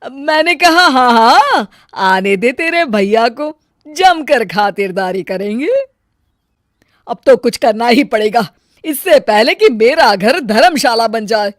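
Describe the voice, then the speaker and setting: A woman voicing a sly, scheming older mother-in-law in an animated story, with sarcastic muttered asides. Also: fairly high pitch, slow, no room sound, studio quality.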